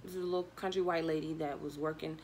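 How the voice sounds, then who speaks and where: A young adult woman telling a personal story in a casual, slangy, animated way, acting out bits of dialogue as a vlogger would. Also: sits low, fast, slight background noise.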